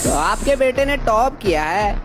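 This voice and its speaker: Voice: medium pitch, animated, measured pace, lightly acted. Theatrical mocking cartoon reporter acted out. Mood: mock-excited. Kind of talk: parody field reporting in cartoon. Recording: voice over background music.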